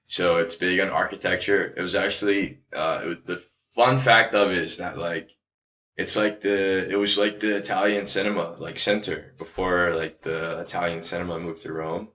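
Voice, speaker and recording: An American man from Chicago talking casually as a podcast guest, in a loose, halting way peppered with "like". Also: sits low, steady tone, bright, forceful tone. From Chicago, United States. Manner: loose, halting, heavy use of "like". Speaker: podcast guest, independent filmmaker. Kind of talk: casual conversational chat. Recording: echoey room, dull lo-fi audio.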